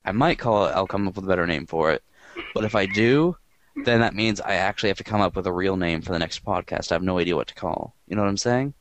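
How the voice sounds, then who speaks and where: A man hosting a podcast in casual chat, with a quiet, monotone voice and a rambling, dry way of talking. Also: sits low, fast, slight background noise, low-quality recording. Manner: rambling and dry, filler-heavy. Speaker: podcast host.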